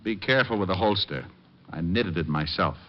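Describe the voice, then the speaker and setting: An actor playing a sardonic American private detective in old-time radio drama, narrating and talking in a dry, flippant manner. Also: male voice, deep voice, expressive, brisk. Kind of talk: 1940s-50s radio detective narration and acting. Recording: dull old-time radio, music behind.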